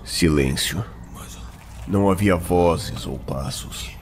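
A man's voice: sits low, takes it slowly. A man with a Brazilian accent narrating a scripted fantasy drama in a solemn, theatrical storytelling manner. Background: loud dramatic music.

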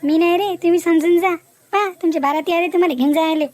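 Woman acting a feisty village woman, high voice, rapid scolding, cartoon comedy. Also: fairly even intonation, darkish tone. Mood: defiant. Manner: scolding, defiant, talkative. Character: feisty sharp-tongued kidnapped village woman.

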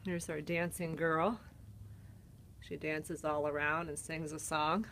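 A woman's voice: low voice, little pitch movement, takes it slowly, a bit bright, slight background noise. A woman with a Canadian accent casually walks through an antique mall, listing items and prices while filming on her phone. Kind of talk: casual walk-through narration. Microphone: handheld phone camera.

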